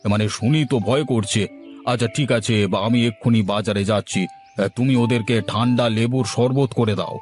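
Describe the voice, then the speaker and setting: A man's voice: mid-range voice, fairly quick, a little mellow, faint music behind, close to an everyday voice. A man voicing a greedy, pompous middle-aged village landlord in a cartoon. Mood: grumbling. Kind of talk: cartoon voice acting.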